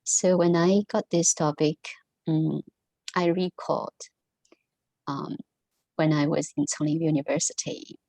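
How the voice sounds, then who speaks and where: A woman whose first language is Mandarin gives a halting talk to an online Buddhist study group, full of pauses and fillers. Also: low-pitched, fairly even intonation, vocal fry, dark tone, no room sound, low-quality recording. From Taiwan. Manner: halting, many ums and restarts. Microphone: video call.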